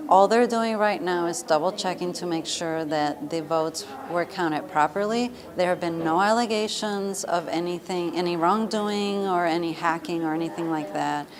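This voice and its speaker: A woman's voice: lowish voice, moderate pace, hardly any pauses. An American woman explaining things as an official spokesperson in a news interview. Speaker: government communications director, interviewee. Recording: busy room chatter behind.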